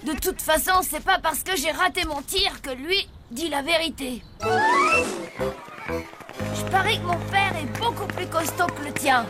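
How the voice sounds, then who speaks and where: A woman's voice: very high-pitched, a little slow. A woman voicing a cocky, boastful, stubborn schoolboy in a children's cartoon, over music. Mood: defensive, boasting. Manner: boastful, argumentative. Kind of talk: dubbed children's cartoon acting. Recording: loud music behind the voice.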